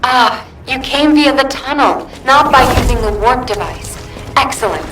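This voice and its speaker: Echoey, high-voiced female commander played by an actress. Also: moderate pace, close to an everyday voice. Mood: brisk, businesslike. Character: brisk, commanding female operations guide. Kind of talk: video game voice acting. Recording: echoey, distant, over game music.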